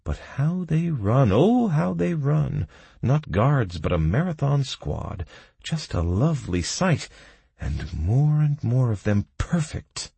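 A man's voice: mid-range voice, very animated, slow, creaky, dark tone, slightly rough, dry recording, voice pushed up, heavily acted. A man voicing a flamboyant, theatrical scheming consultant in an audiobook, grandiose and wry with an exclamatory delivery. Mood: gleeful, wry.

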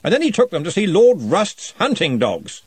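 A man voicing a big, jovial old wizard chancellor who talks loudly and heartily, with a faintly threatening cheer. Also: sits high, wildly swooping pitch, moderate pace, breathy edge, no room sound, pitched above the performer's own voice, exaggerated voice.

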